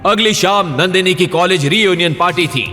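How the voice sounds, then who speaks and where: A man's voice: high-pitched, normal speed, bright, forceful tone, big-room sound. A man giving dramatic scripted narration of an audio story, with music behind him.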